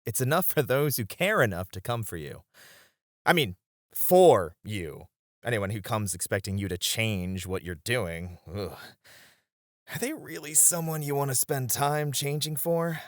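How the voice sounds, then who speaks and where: A man playing a flirty, supportive club host in an ASMR roleplay, speaking in a gentle, reassuring way. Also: medium pitch, measured pace, dry recording, clean studio sound, lightly acted. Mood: earnest reassurance. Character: flirty, supportive male club host comforting his friends.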